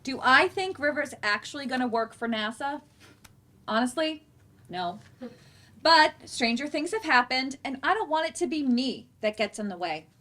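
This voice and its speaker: A woman performs a middle-aged parent who feels adrift. The voice is high, and the delivery is reflective and self-deprecating, as in a stage monologue. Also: lively intonation, moderate pace, bright, forceful tone. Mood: wistful, frank. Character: self-doubting middle-aged parent, drifting but trying. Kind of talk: staged play reading, monologue.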